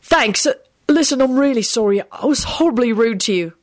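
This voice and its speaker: A woman's voice: fairly high pitch, lively intonation, normal speed, voice pushed up, close to an everyday voice. A woman voicing a frantic, remorseful young man in a story, stammering and babbling apologies.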